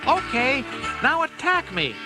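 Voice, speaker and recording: An earnest teenage turtle leader as a man acts him out, very high in pitch and lively. He gives firm, urging commands in kids' storybook style, with loud music behind. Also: unhurried, heavily acted. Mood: commanding. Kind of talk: children's audio storybook acting.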